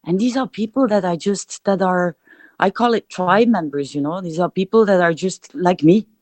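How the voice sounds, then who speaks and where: Young Belgian-raised DJ woman, lowish voice, dry close sound, reflective and halting. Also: a little mellow, no echo. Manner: reflective, many restarts, speaks of feeling and energy. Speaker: DJ guest. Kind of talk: podcast interview.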